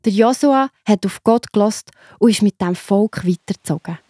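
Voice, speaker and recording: A woman's voice: medium pitch, moderate pace, dark tone, husky, dry recording. A woman with a Swiss German accent narrating a scripted children's Bible story, reassuring and speaking straight to the young listener.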